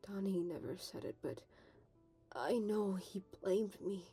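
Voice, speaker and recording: A woman acting a weary, haunted male war leader in a scripted comic dub, speaking haltingly in breathy murmurs. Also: mid-range voice, dark tone. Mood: drugged, remorseful. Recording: faint music behind.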